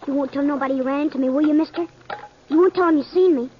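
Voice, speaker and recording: Woman playing high-voiced, stubborn frontier boy. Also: rapid-fire, slight background noise, close to an everyday voice. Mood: defiant. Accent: American. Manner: plain-spoken, rustic grammar, eager. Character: earnest, stubborn eleven-year-old runaway frontier boy. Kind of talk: old-time radio western acting. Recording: muffled old radio recording.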